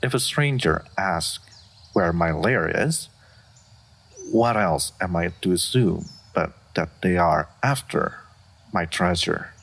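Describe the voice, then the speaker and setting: A man playing an aloof dragon in human form, speaking formally and with deadpan reserve in a fantasy roleplay audio. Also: low voice, slow pace, gravelly, exaggerated voice. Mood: cool, reserved. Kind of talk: scripted fantasy roleplay audio addressed to the listener.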